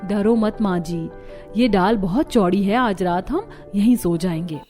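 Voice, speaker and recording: Brisk, resourceful young cartoon daughter-in-law played by a woman. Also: mid-range voice, warm tone, natural voice. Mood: upbeat, reassuring. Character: resourceful, cheerful young daughter-in-law leading her family. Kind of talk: Hindi cartoon story dialogue. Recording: music behind the voice.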